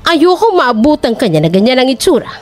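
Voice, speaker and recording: Woman as anxious, stern queen mother; animated pitch, music under. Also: a little fast. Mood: worried. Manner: anxious, scolding. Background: faint music.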